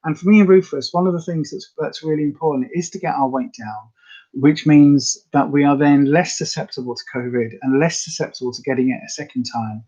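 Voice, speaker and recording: A woman with a slightly raspy voice, chatting casually and rambling to viewers as the host of a live health update. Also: sits low, fairly quick, mellow, muffled recording. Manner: chatty, rambling, many fillers.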